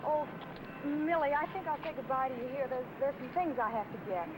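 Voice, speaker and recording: Actress as a high-voiced, hesitant lovesick young woman. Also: brisk, natural voice. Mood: evasive, wistful. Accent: American. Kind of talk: 1950 film noir dialogue. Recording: noisy vintage film soundtrack, street sounds.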